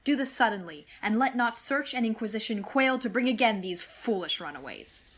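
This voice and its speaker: A woman's voice: on the high side, expressive, normal speed, fairly bright tone. Woman playing a stern, suspicious ruler, faint noise. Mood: stern, angry. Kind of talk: Shakespearean radio play acting.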